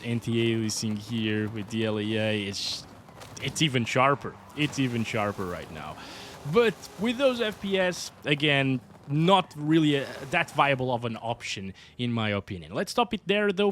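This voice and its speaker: A man's voice: mid-range voice, a bit bright, slight background noise. A man with a Portuguese accent giving chatty, upbeat commentary over gameplay as a hardware reviewer. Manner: chatty, upbeat, often says "guys".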